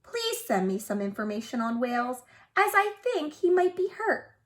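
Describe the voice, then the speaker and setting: A woman's voice: sits high, sing-song, moderate pace, fairly bright tone. A woman voicing an earnest young girl writing letters in a picture-book read-aloud. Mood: eager, earnest.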